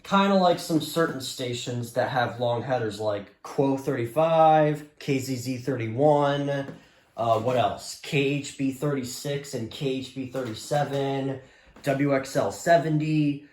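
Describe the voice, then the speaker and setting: A man's voice: medium pitch, a little slow, a little mellow. Man rambling casually in an echoey room. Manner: hesitant, rambling, repetitive. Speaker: weather radio hobbyist vlogger. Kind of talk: casual unscripted gadget showcase. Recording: echoey room with background hiss.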